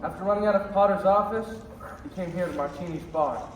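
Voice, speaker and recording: A man's voice: sits high, quick pace, natural voice. A young man playing a friendly, concerned barkeeper in a stage radio play, solicitous in manner. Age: teenager or young adult. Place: school theater stage. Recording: echoey stage, distant mic, loud music.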